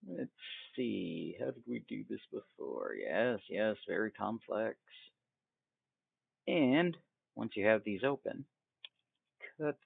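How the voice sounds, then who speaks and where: A phone-like, dry recording carries a man hosting a casual software tutorial. His lowish voice is thick with fry. He takes his time through each step, informal and folksy, as if thinking aloud. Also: dry recording. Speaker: tutorial host. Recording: tinny, phone-like narrow band.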